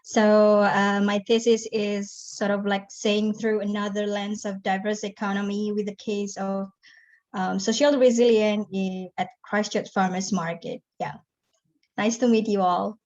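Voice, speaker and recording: A woman's voice: medium pitch, little pitch movement, unhurried, a little mellow. A woman, a master's student, introducing herself on a video call in a friendly way. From Indonesia. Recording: muffled video call audio.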